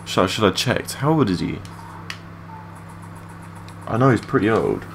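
A young man with a low voice giving rambling, matey, sweary gameplay commentary in a casual unscripted way. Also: animated, unhurried. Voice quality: low-pitched voice. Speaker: gaming video creator. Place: his room at home. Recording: clearly noisy home mic recording.

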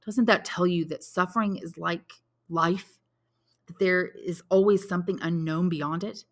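A solemn, commanding count, as a woman reader voices him, slow and measured, persuading with quiet authority. The voice sits lowish for a woman. It stays steady and grave.

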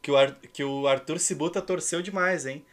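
A man's voice: fairly high pitch, moderate pace. A man in his thirties with a Brazilian accent, chatting casually and ironically as he hosts and commentates a game stream. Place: indoor room at home.

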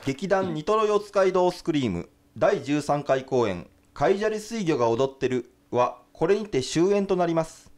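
A courteous theatre announcer in a radio drama. His voice sits a little high, with formal, scripted politeness. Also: expressive, measured pace, hardly any pauses, darkish tone, a faint crowd, a little hiss or hum. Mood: polite, formal.

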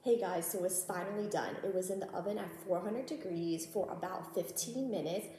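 A young woman in her twenties giving a relaxed, chatty cook-along talk to camera in her home kitchen, with frequent "um" and "go ahead". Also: lowish voice, moderate pace, slight background noise. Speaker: cooking vlogger. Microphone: camera on a tripod across the counter. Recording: echoey kitchen with background music.

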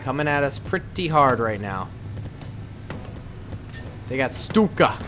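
A man's voice: medium pitch, big pitch swings, slow pace, music in the background. A young man casually commenting on his gameplay in a playful, jokey way, with slang. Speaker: gaming YouTuber.

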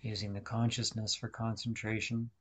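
Man, fairly low voice, meditation guide, deliberate phrasing, instructional, frequent pauses. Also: little pitch movement, a bit bright, husky. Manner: deliberate, instructional, pausing between short phrases.

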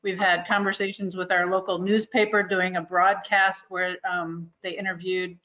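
A woman's voice: lowish voice, level tone, normal speed, pushed and bright. Female board chair, informal meeting remarks, muffled video-call sound. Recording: dull lo-fi video-call audio.

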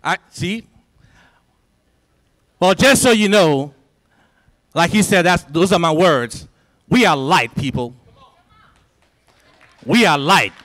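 A man's voice: on the high side, animated, takes it slowly, long pauses, a bit bright. Rousing man speaking in a lecture hall. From Liberia. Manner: rousing, preacher-like cadence with emphatic repetition. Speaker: symposium speaker. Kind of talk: motivational speech to students. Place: large college lecture hall, indoors. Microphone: handheld microphone.

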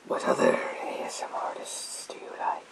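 Man doing a creepy whispering psychic villain. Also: natural voice. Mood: probing, sly. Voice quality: whispered, breathy and creaky. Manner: theatrical, drawn-out, eerie. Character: menacing male psychic villain in a gas mask. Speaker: ASMR roleplayer. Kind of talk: ASMR roleplay, slow theatrical whisper. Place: small indoor room with bookshelves.

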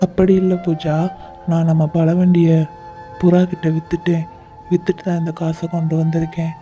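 A man's voice: fairly high pitch, completely flat delivery, moderate pace, mellow, lightly acted. A man voicing a meek, hardworking male crow fruit seller in a cartoon, mild and apologetic. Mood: weary. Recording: light music bed.

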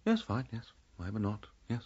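Radio drama sidekick: middle-aged English military gentleman, fussy and dryly sarcastic, lively intonation, slightly dull recording. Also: fairly low pitch, a little fast, husky. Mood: prying, dry. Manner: dry, sarcastic, fussily polite.

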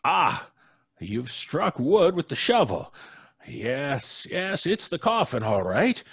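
An actor playing a doddering, nervous, kindly old judge in an old-time radio horror play, stammering and hesitant. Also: male voice, on the high side, very animated, takes it slowly, gravelly, husky, no room sound, studio quality, exaggerated voice. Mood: wary. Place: home studio on a video call. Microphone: close studio microphone.

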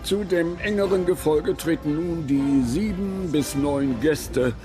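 An actor playing a courteous guide aboard an imperial yacht, giving formal scripted narration. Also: male voice, a little high, takes it slowly, music in the background.

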